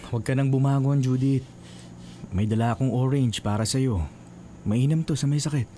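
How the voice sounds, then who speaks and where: An actor playing a polite, caring young man in a Filipino radio drama, gentle and earnest. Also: medium pitch, moderate pace, a little hiss or hum, natural voice. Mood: polite, concerned. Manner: gentle, courteous, solicitous. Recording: music bed behind voice.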